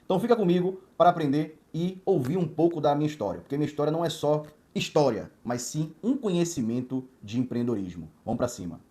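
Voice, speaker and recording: Man, forties, motivational storytelling, emphatic repetitions, slightly high-pitched. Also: lively intonation, quick pace, few pauses, warm tone. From Salvador, Bahia, Brazil. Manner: emphatic, repeats words in lists, frequent "né". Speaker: watch distributor telling his life story.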